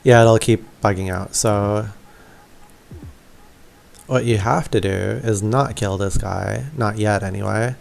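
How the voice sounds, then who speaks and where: Low, gravelly young man, casual gaming commentary. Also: fairly even intonation, slow, pauses often. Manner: offhand, self-deprecating. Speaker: gaming video creator.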